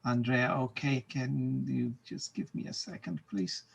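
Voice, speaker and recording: A man moderating an online school video meeting, relaxed and chatty, with many fillers and small laughs. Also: mid-range voice, a little slow, vocal fry. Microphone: video call.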